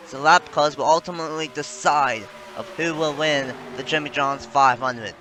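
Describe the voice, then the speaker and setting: Male sim-racing commentator, slightly high voice with a forceful edge, rambling live play-by-play that corrects itself as it goes. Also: normal speed, faint music behind.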